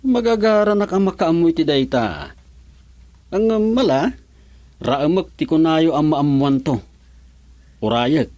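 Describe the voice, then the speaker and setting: An actor with an Ilocano Filipino accent playing a chatty, curious village official in a radio comedy drama. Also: male voice, fairly high pitch, animated, unhurried, long pauses, a little mellow, dry recording. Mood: curious, prodding. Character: village official, chatty curious man, son-in-law of the chairman.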